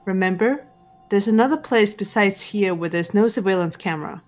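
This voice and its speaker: A woman playing a composed, serious teenage girl in an anime game fan dub, speaking in a measured, deliberate way. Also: medium pitch, darkish tone, soft background music, lightly acted. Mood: calm, cautious. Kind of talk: visual novel fan dub voice acting.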